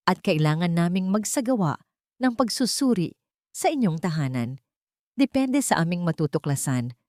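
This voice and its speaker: Formal female child welfare officer voiced by a woman narrator, lowish, professional, cold, dry audio drama read. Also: no echo, close to an everyday voice. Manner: professional, without warmth.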